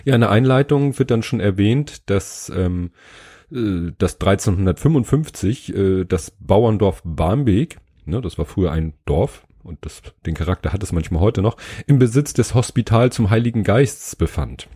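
A man from Hamburg in his thirties, hoarse from a cold, hosting a casual book podcast and rambling with many fillers and self-corrections. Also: fairly low pitch, expressive, normal speed, warm tone, no echo, clean studio sound. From Hamburg, Germany. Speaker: podcast host.

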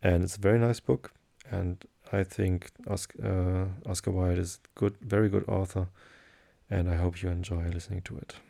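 A German-speaking man with a German accent, hosting a sleep podcast and reading aloud in a low, soothing, hesitant way. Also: gravelly. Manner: hesitant, many fillers, slow calm delivery.

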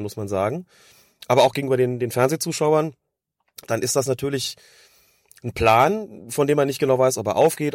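A man, hoarse from a cold, giving long analytical explanations as a podcast co-host. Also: mid-range voice, a little mellow, no echo, studio quality. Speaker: podcast co-host discussing refereeing. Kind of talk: casual podcast chat.

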